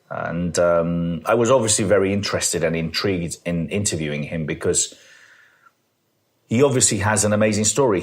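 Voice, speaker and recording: A man hosting an interview, earnest and hesitant, with many fillers as he speaks. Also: low voice. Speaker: interview host. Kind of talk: intro then interview questions.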